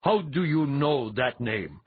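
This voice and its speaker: A man's voice: medium pitch, expressive, moderate pace, breathy edge, dry recording, narrow, tinny audio, voice pushed up. A man voicing a self-righteous, indignant young priest, pompous and accusing, in an audiobook story. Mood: shocked.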